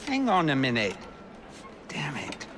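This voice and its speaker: Grumbling workman, expressive pitch. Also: unhurried, background noise. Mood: exasperated. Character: exasperated, grumbling Victorian working man. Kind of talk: video game voice acting.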